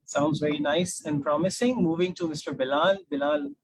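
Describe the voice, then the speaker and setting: A man with a Pakistani accent, politely and somewhat haltingly moderating an informal live session as host. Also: on the high side, animated, slight background noise, rough recording. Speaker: panel host, alumni outreach officer.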